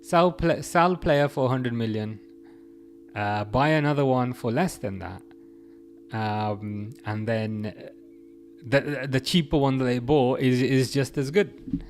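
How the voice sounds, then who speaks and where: A young man hosting a relaxed football livestream, reading out chat messages and rambling through his thoughts with frequent restarts. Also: medium pitch, slow pace, soft background music.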